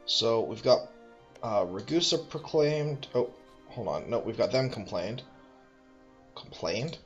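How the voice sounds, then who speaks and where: A man's voice: mid-range voice, lively intonation, slow, dull audio, faint music behind. A man thinking aloud as he plays a strategy game, musing, correcting himself and making dry asides. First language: English. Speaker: let's play host.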